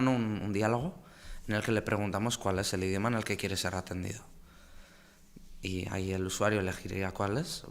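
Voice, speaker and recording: A man presenting in a webinar, explaining language settings for websites with many fillers and restarts, over a video call. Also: low voice. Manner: frequent fillers and self-corrections. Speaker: webinar presenter on web setup. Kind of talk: hesitant technical explanation.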